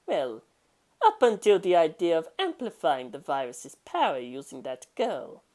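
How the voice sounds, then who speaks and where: A woman voicing a serious, composed male gangster in a story, measured and calmly confident. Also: sits low. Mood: calm confidence.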